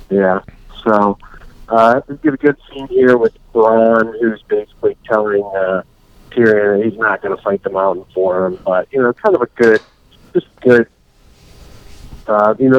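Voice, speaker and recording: A man hosting a casual podcast, rambling through a recap and acting out scenes in quick put-on voices. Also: on the low side, animated, dry recording, lo-fi sound. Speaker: podcast host.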